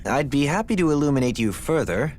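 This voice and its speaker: A cultured, lecturing scholar. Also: male voice, mid-range voice, very animated, a little fast, darkish tone, slight background noise. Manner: formal, articulate, slightly lecturing. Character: refined scholarly man, lofty and cultured.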